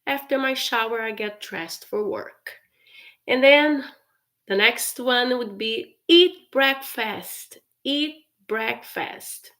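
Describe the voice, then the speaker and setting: Slow, careful woman teaching English. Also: fairly high pitch, sharp tone. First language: Portuguese. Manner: slow, careful repetition of phrases with frequent laughs. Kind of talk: live-stream English lesson.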